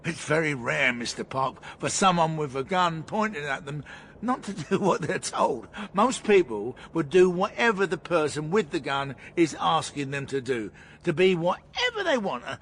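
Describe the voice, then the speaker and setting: A police detective in a radio drama interrogation. His voice sits a little high for a man, measured and insistent as he presses each question. There is faint background noise. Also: very animated, fairly bright tone. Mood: calm, leading. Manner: measured, probing, repeats questions.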